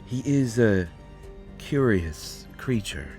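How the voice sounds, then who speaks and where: A man voicing an ancient, courteous vampire who speaks with formal, measured, deliberate phrasing in an audio roleplay. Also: lowish voice, animated, darkish tone, pitched above the performer's own voice. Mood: knowing, intrigued. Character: ancient, courteous, knowing male vampire speaking softly and gravely. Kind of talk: scripted immersive audio roleplay. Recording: roomy echo, faint music behind. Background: faint music.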